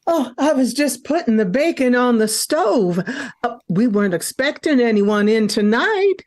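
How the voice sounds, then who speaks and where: A woman's voice: a little high, lively intonation, normal speed, darkish tone, natural voice. A woman playing a homely, hesitant, welcoming country storekeeper in an old-time radio comedy.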